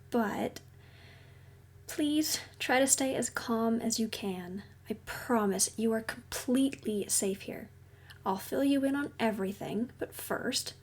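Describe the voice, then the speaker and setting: A woman playing a caring, reassuring counselor at a secret base in an audio roleplay, speaking gently and explaining things soothingly. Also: fairly high pitch, husky, heavily acted. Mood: gentle, reassuring. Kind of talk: audio roleplay addressed to the listener.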